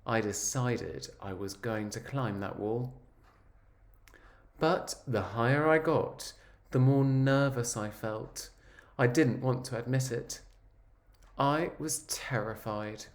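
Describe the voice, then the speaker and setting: A man voicing an earnest, wistful egg who tells his own story in a measured, reflective picture-book narration. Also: mid-range voice. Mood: anxious.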